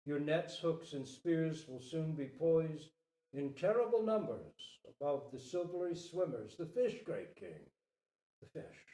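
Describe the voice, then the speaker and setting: A man voicing a noble, compassionate deer king in a dramatic storybook reading, formal and solemn, pleading gently. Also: fairly high pitch, sing-song, measured pace. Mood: earnest pleading. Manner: solemn, formal, rhetorical appeals. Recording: roomy echo, distant microphone.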